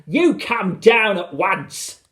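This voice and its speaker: A storybook reader voicing a tiny, anxious, excitable mother in a fretful, exclaiming manner. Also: high voice, a little slow, roomy sound, pitched above the performer's own voice, exaggerated voice. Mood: alarmed.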